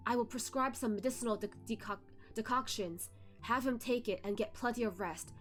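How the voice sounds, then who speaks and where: A woman reads the part of a learned old physician in a fantasy story. The delivery is formal and calmly firm, in a high register. Faint music plays underneath. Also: natural voice. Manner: measured, formal, explanatory. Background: music behind the voice.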